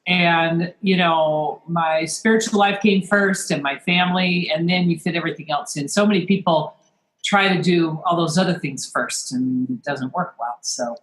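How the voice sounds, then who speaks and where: Brisk no-nonsense woman guest, 50s, roomy echo on her line. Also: lowish voice. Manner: brisk, confident, matter-of-fact. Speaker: guest, author and attorney. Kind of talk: casual interview chat.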